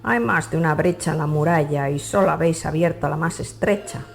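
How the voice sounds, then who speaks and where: A woman's voice: low voice, a little fast, creaky, soft background music. A woman narrator voicing cruel, gloating monks in a pompous, declamatory way for an audiobook. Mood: boastful. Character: cruel, gloating libertine monk, a grown man.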